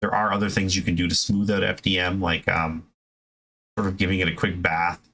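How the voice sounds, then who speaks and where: A man hosting a hobby video, giving a casual, unscripted explanation in a conversational, halting way with self-corrections. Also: sits low, little pitch movement, a bit bright, rough recording.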